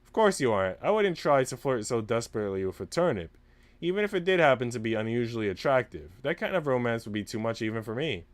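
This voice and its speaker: Man voicing an arch, flirtatious servant woman, animated. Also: medium pitch, fast, natural voice. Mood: coaxing, playful.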